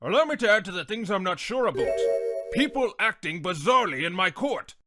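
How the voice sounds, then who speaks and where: A man acts out a befuddled old courtroom judge from a video game, pitched high and swinging wildly in tone. He blusters and stammers, easily flustered, with loud music behind him. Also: sing-song, brisk, exaggerated voice. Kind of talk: game fan dub acting.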